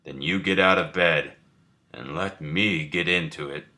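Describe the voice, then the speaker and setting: A man voicing an earnest, helpful, slightly hapless male toad from a storybook, speaking deliberately. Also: low-pitched, bright, forceful tone, pitched below the performer's own voice. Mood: weary.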